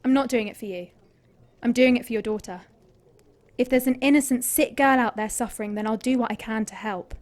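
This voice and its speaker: A woman's voice: a little high, fairly quick, natural voice. A woman playing a blunt, foul-mouthed young princess turned monster hunter. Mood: brusque. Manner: blunt and curt, swears freely. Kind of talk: fan audio drama acting.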